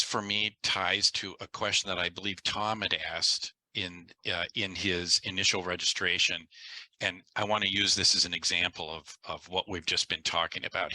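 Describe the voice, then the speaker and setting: Deep-voiced man, webinar host; crisp bright tone, dry close sound, measured delivery. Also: no echo, rough recording. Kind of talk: webinar discussion. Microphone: video call.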